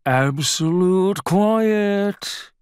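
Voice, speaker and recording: A man's voice: high voice, extremely slow, a little mellow, smooth, no room sound, clean studio sound, voice pushed up. A man voicing a fussy, grumpy bear from a storybook, with an exasperated, theatrical delivery. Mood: strained patience. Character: grumpy, fussy, dramatic male bear wanting quiet.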